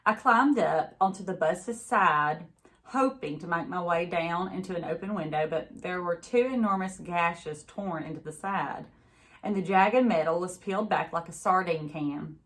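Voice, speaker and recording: A woman with a slightly low voice reads a children's book aloud. She is expressive and teacherly, speaking as if to a class of young listeners. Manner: pauses to chat with young listeners.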